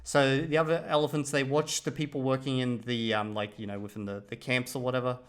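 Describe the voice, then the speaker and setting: A man vlogging about learning a language, ranting in an animated, exasperated way and translating clips aloud. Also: medium pitch, brisk, smooth. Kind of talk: casual commentary reacting to clips.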